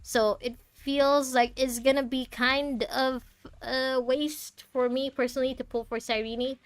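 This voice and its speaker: A woman's voice: sits high, unhurried, clear, resonant tone, no room sound. Casual, chatty woman game streamer. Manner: conversational, expressive, thinking aloud. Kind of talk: casual livestream chat, reading out chat messages and game text.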